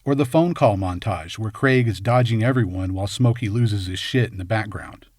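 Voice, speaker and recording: A man narrating a scripted film analysis in measured, short punchy phrases. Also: fairly low pitch, very noisy. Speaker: video essay host and narrator.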